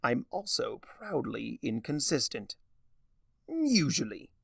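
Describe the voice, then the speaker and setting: A man's voice: mid-range voice, sing-song, slow, vocal fry, breathy edge, dry recording, studio quality, recorded from a distance, full character voice. A man voicing a gleefully mischievous chaos creature in a story reading, with a grand, teasing drawl. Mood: reassuring. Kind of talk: dramatic fan fiction reading.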